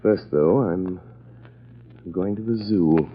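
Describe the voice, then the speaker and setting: Mysterious crime fighter, low husky voice, measured and dramatic, calm, vintage radio drama. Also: male voice, exaggerated voice. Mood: resolute. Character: mysterious crime-fighting man, calm, grave, secretly invisible avenger. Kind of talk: 1930s radio acting. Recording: muffled old-time radio recording.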